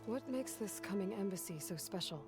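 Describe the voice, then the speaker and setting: An actress playing a determined, reserved young champion in a video game, earnest and understated. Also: female voice, medium pitch, expressive, brisk, music in the background, natural voice. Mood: questioning. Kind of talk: dramatic video game dialogue.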